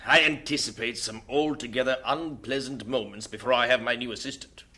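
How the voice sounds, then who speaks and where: A man's voice: mid-range voice, steady tone, brisk, lightly acted. A man playing a stubborn, formal British senior missionary in an audio drama, with a fussy, proper manner. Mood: fussy, worried.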